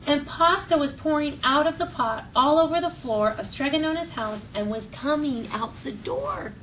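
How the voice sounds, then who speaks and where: Female primary teacher, higher-pitched, expressive read-aloud delivery, picked up off-mic with light room noise. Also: normal speed. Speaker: elementary school teacher. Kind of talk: classroom read-aloud to children. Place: classroom with children. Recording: distant mic, faint classroom noise.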